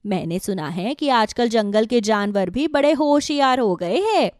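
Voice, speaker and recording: A woman's voice: a little high, animated, measured pace, darkish tone, dry recording, clean studio sound. A woman playing a proud, chatty woodcutter's wife in a children's cartoon. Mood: cautioning. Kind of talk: Hindi children's cartoon voice acting.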